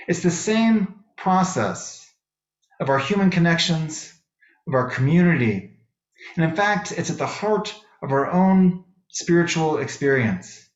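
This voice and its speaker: A man giving a sermon as a minister, speaking in measured, deliberate phrases with pauses. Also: fairly high pitch, reverberant, muffled recording. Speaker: minister preaching to a congregation. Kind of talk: sermon with poetry readings. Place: echoey worship space.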